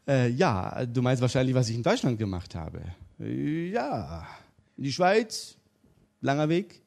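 Joking middle-aged preacher from Turkey. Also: male voice, medium pitch, unhurried, vocal fry. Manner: humorous, lively storytelling. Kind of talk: interview and sermon.

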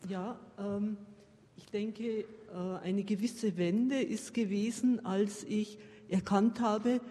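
Slow, mellow, hesitant workshop participant. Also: mid-range voice, steady tone. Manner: halting, searching for words. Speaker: workshop participant giving testimony. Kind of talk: hesitant personal testimony. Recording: distant voice in reverberant hall.

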